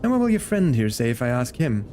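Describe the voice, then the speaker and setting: A man voicing a gruff, suspicious, foul-mouthed chief from a game, scornful and challenging. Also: mid-range voice, sing-song, fast, a little mellow, slight background noise, close to an everyday voice. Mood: suspicious, testing. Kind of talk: reading game dialogue aloud in character.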